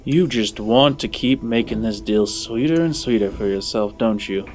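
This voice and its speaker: A man's voice: fairly low pitch, moderate pace, soft background music, close to an everyday voice. A man playing a sardonic, hard-bargaining mercenary companion in a tabletop game. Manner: sardonic, businesslike.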